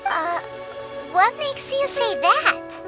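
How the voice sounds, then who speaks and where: A very high, squeaky little fairy sidekick chirps along with childlike bubbliness. The delivery is unhurried and swoops up and down like a game character. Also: female voice, very animated, exaggerated voice. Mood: puzzled. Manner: refers to self in third person, childlike. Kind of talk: video game voice acting. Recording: loud music behind. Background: loud game music.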